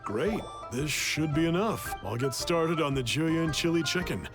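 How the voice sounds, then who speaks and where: A man's voice: a little high, animated, unhurried. Earnest young townsman, music behind. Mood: obliging. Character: plain-spoken, loyal young townsman who cooks. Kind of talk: English video game voice acting.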